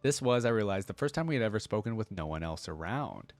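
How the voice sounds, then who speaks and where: A man with a fairly low voice hosts a reaction video. His delivery is informal and conversational, suited to reading lore aloud and adding casual asides. The sound is dry, with very little room in it. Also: lively intonation, quick pace, no echo, faint music behind.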